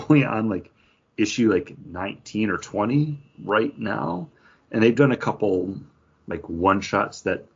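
A man's voice: fairly low pitch, creaky, breathy edge. A man chatting casually as a podcast co-host, rambling through his opinions and acting out imagined quotes. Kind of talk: casual chat, book review. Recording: dull, lo-fi podcast audio.

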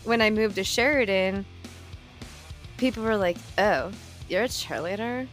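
A woman in her thirties hosting a casual chat, telling lively stories, swearing freely and laughing often. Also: medium pitch, a little slow, faint music behind. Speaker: podcast host.

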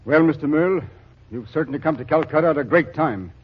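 An actor playing a hardened, blunt army captain working famine relief, grim and weary, in a 1940s radio drama. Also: male voice, a little high, fast, music in the background, lightly acted. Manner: blunt, grimly weary, callous. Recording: dull old radio recording.

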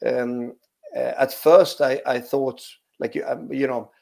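A man with a Swedish accent, a former footballer, answering interview questions in a relaxed, halting way, full of restarts and "you know". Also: medium pitch, gravelly. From Sweden. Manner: hesitant, many restarts and "you know" fillers.

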